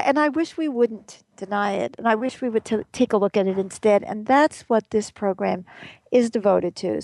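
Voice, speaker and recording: A woman's voice: medium pitch, gravelly, darkish tone, dry recording. A woman hosting a talk radio show, speaking in a casual, earnest, chatty way with fillers and small laughs.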